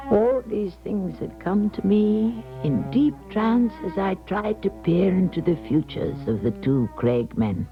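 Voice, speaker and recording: An actress playing a grave, haunted older female clairvoyant, narrating a 1970s radio drama in a measured, solemn way. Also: on the low side. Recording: dull 1970s radio broadcast, music behind.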